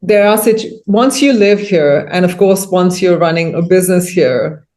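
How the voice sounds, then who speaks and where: A woman's voice: on the low side, normal speed, a little mellow. A woman in her thirties talking casually as an interview guest on a video call, explaining thoughtfully and rephrasing as she goes. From India. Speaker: interview guest, agriturismo and winery owner. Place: indoor room, on a video call.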